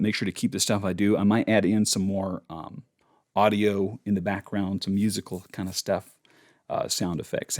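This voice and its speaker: A man talking casually and informally as he walks through a voice-over tutorial. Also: fairly low pitch. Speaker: voice actor giving a tutorial. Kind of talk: casual instructional chat.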